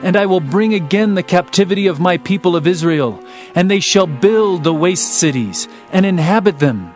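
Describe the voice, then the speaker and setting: A man's voice: sits high, lively intonation, moderate pace, darkish tone. A man narrating dramatized scripture in a solemn, declamatory manner. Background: background music.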